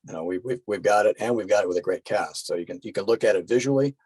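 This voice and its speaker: A man's voice: fairly low pitch, fast, darkish tone, husky, dry recording. A man chatting casually as a podcast guest from the film world, with informal, laid-back phrasing. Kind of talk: casual interview chat.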